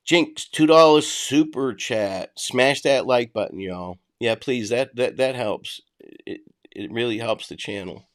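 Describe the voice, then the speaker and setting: A man's voice: mid-range voice, measured pace. A middle-aged man with a Boston accent, hosting a casual livestream, reading and answering chat in a blunt, conversational way. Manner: blunt, conversational, frequent restarts.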